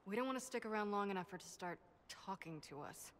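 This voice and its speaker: Woman playing a brisk dwarf scout, noisy background. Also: medium pitch, expressive, lightly acted. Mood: warning, matter-of-fact. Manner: brisk, matter-of-fact. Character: brisk, worldly female surface dwarf scout, wary and knowledgeable. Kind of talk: video game dialogue acting.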